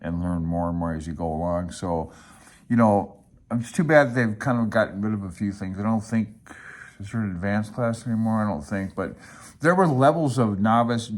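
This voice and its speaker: A man's voice: fairly low pitch, normal speed. A man in his sixties giving casual, rambling commentary as he pages through a book, laid-back and reminiscing as he thinks aloud. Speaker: amateur radio hobbyist presenting a handbook.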